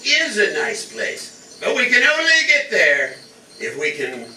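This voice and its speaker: A man's voice: high-pitched, wildly swooping pitch, unhurried, bright, forceful tone, far from the mic, exaggerated voice. A man playing a weary, exasperated wagon master in a stage radio parody. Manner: drawling, exasperated. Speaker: amateur stage actor. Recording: roomy stage from afar, audience noise.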